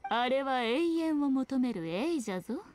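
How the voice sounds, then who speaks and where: A woman's voice: high-pitched, animated, a little slow, darkish tone, slight background noise. A woman playing a sly, teasing, ancient fox-spirit shrine maiden in a video game, speaking in an archaic, haughty manner with playful airs. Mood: aloof. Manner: archaic haughty Japanese speech, playful mock sympathy. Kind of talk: scripted video game dialogue.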